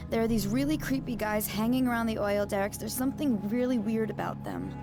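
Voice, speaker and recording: A woman's voice: fairly high pitch, a little fast, over music, close to an everyday voice. An actress playing a chatty, eccentric female new-age mystic with a breezy, airy way of talking in a game cutscene. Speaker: motel resident, self-declared psychic.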